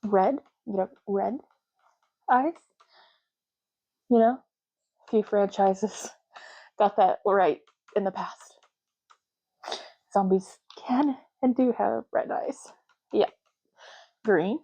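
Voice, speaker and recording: A woman speaks softly in a mellow, relaxed voice with a medium pitch. She plays a clerk in an ASMR roleplay, casually explaining choices to the listener. The recording sounds slightly dull and lo-fi. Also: extremely slow, long pauses, husky. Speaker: ASMR creator playing a clerk making ID cards. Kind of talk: soft-spoken ASMR roleplay.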